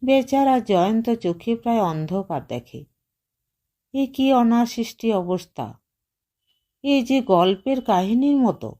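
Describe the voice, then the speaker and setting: A woman's voice: on the high side, animated, takes it slowly, pauses often, mellow. A woman reading a literary short story aloud as scripted narration. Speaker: audio story reader.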